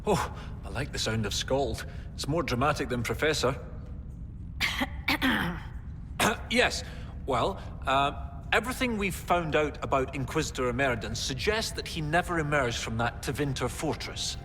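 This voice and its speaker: Excitable bookish professor in reverb. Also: male voice, medium pitch, measured pace, long pauses, slight background noise. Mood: hesitant, musing. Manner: theatrical, enthusiastic, musing aloud. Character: excitable scholarly male professor, bookish and theatrical. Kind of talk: video game cutscene acting. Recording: long reverb, loud music behind. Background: loud music.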